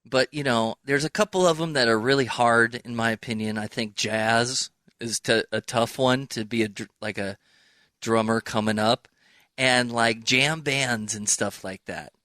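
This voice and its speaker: Bright, forceful male show host. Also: medium pitch, unhurried, dry recording, studio quality. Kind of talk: casual radio-style interview.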